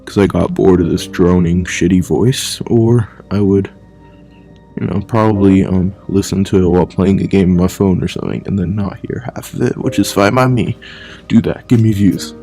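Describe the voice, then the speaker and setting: A man talking bluntly in a casual monologue for his vlog, rambling and swearing freely, sometimes speaking low and hushed at home at night. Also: moderate pace, creaky, soft background music. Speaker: vlogger.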